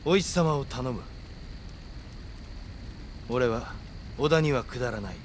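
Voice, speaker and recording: An actor playing a loyal, unbowed samurai in a game, steady and plain-spoken. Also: male voice, medium pitch, slow pace. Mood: resolute. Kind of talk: dramatic video game cutscene acting. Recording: clear ambient noise behind.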